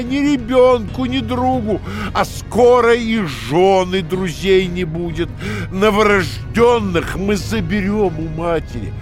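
An actor playing a cruel, domineering party interrogator who lectures theatrically, swinging from hushed to emphatic, in an audio drama. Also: male voice, high-pitched. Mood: fervent. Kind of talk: dramatic audio play dialogue.

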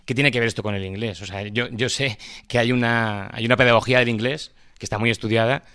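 A man's voice: medium pitch, fairly even intonation, quick pace, bright, forceful tone, clear, resonant tone, dry recording, studio quality. A man with a Madrid Spanish accent, a schoolteacher speaking off the cuff at a public debate, feeling his way through his points with frequent tag questions. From Madrid, Spain. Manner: hesitant, searching for words, frequent tag questions. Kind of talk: impromptu speech at a public debate.

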